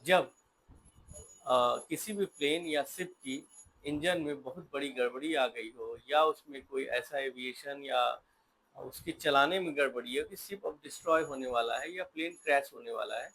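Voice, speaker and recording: A man's voice: mid-range voice, unhurried, fairly bright tone, slightly rough, rough recording. An Indian man giving an informal explainer talk, mixing English terms into his explanatory speech. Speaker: presenter explaining a term.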